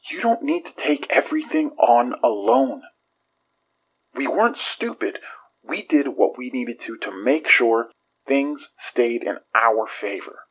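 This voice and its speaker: A husky, emphatic detective. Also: male voice, medium pitch, lively intonation, measured pace, dull audio. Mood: firm. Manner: emphatic, argumentative. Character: stubborn, protective, exasperated male detective. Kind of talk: horror audio drama acting.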